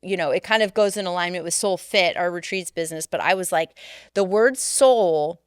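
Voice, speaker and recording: A middle-aged woman co-hosting a podcast, talking earnestly in a casual chat and getting animated when she tells stories. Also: lowish voice, moderate pace, smooth, no echo. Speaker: podcast co-host and coach.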